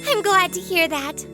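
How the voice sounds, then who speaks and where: A woman voicing a poised, principled, sweet young female doctor in a manga dub, speaking politely. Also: sits high. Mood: affectionate, coy. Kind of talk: animated romantic-comedy dub acting.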